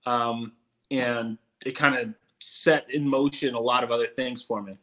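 A young man in a casual interview over a video call from home, telling his story in a relaxed way with many fillers. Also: mid-range voice, a little slow. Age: young adult. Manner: laid-back, many "uh"s. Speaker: guest stuntman. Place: room at home.

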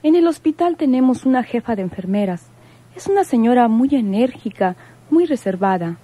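A woman's voice: a little high, expressive, normal speed, mellow. An actress playing a polite, serious woman in a radio soap, speaking properly and measuredly. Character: polite, serious hospital working woman, grieving sister. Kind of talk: Mexican radio soap acting.

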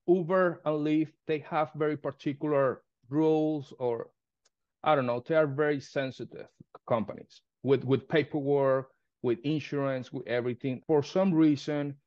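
A man in his thirties with a Spanish accent, a limo business owner speaking in halting, non-native English as a guest on a video call from home. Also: on the high side, a little mellow, dry recording. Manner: halting, repeats words, non-native grammar. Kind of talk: casual interview on a video call. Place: living room at home. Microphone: phone camera on a video call. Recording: dull, dry phone-call audio.

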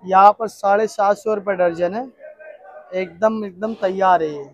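A man with a high voice and a Mumbai Hindi accent gives casual vlog commentary. He talks informally to viewers and repeats himself often. The phone recording sounds a little dull. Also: fairly even intonation, unhurried, dry recording. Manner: repetitive, informal, addressing viewers as brother. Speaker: vlogger touring a wholesale fruit market. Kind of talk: casual vlog commentary, asking vendors prices. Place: large covered wholesale fruit market. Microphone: handheld phone.

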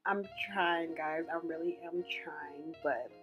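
A woman in her twenties with a dry, deadpan voice, chatting casually through a hair tutorial as a beauty vlogger. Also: low-pitched, takes it slowly, dull audio. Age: 20s. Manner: monotone, deadpan delivery. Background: background music.

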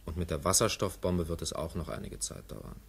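Deep-voiced man reading softly. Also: fairly even intonation, brisk, a little hiss or hum. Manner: soft, ironic, drowsily complacent. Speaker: reader of interlude texts. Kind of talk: scripted poetic narration in a 1950s radio play.

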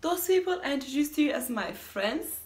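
A woman's voice: high voice, lively intonation, brisk, a little mellow, pitched above the performer's own voice. A woman performing a theatrical monologue as a self-declared mean young woman resisting a marriage proposal, dramatic and emphatic. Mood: panicked pleading. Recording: echoey room.

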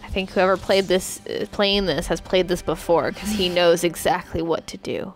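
A woman's voice: on the low side, fairly even intonation, normal speed. A young woman giving casual reaction commentary as a video host. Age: young adult. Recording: loud game music and sound behind.

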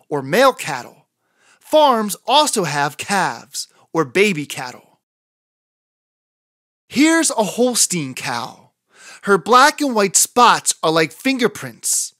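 Slow, lively children's storybook narrator. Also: medium pitch, dry recording, clean studio sound. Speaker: children's picture book narrator. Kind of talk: scripted read-aloud narration for children.

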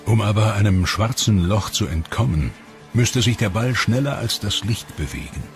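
Deep-voiced male documentary narrator. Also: lively intonation, normal speed. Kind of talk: scripted documentary voice-over. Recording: studio voice-over over loud music. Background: music.

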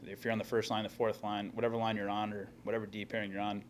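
Fast-talking low-voiced hockey player. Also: male voice, a little hiss or hum. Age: young adult. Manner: plain, steady answers with many fillers and restarts. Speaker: hockey player at a postgame press conference. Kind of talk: postgame press conference answers. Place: press conference room. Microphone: table microphone in front of him.

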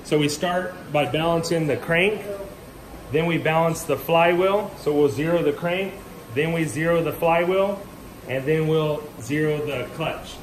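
A man's voice: a little high, unhurried. A man in his thirties leading a group through a large indoor machine shop, explaining each station as he walks, recorded on a camera a few feet away. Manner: explanatory, points things out to a group. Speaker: shop tour guide. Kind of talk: walking shop tour explanation. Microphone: handheld camera from a few feet away. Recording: echoey shop with loud machine and air noise. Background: machinery and ventilation hum.

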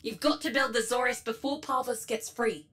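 An actress plays a businesslike female commander in a sci-fi game. Her fairly high voice gives a direct, urgent briefing in informal words. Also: moderate pace, fairly bright tone, lightly acted.

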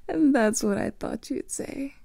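A young woman voicing a teasing female vampire lover in an ASMR roleplay, whispering softly close to the microphone. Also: high voice, dry recording, exaggerated voice. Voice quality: breathy whisper with vocal fry.

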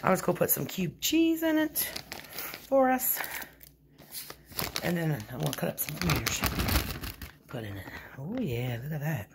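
Gravelly low-voiced woman with a twang. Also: big pitch swings, moderate pace, pauses often, very noisy. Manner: chatty, folksy, talks to viewers while cooking. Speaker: vlogger. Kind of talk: casual home vlog narration. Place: small home kitchen, indoors. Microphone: handheld phone camera.

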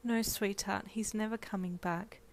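A woman voicing a caring mother who speaks softly and soothingly. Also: medium pitch, fairly even intonation, moderate pace, voice pushed up, close to an everyday voice. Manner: soft and soothing. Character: gentle, caring young mother.

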